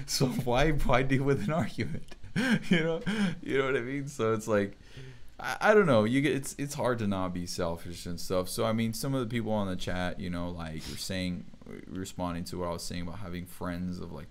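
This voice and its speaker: Casting: young male host, very low voice, loose rambling chat with frequent laughs. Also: normal speed, vocal fry. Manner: laid-back, rambling, laughs often. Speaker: show host. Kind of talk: casual livestream chat.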